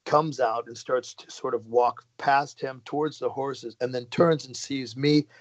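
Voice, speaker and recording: A man, a podcast guest, talking casually in a halting way, restarting and trailing off. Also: mid-range voice, steady tone. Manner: halting, restarts and trailing phrases with short laughs. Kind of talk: casual conversation. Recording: dull lo-fi podcast audio.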